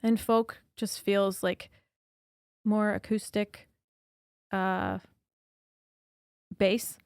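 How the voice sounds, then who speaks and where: A young woman, a singer-songwriter guest, talking casually and thoughtfully in a podcast interview, soft-spoken with many fillers. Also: medium pitch, little pitch movement, extremely slow, vocal fry, clear, resonant tone, no echo.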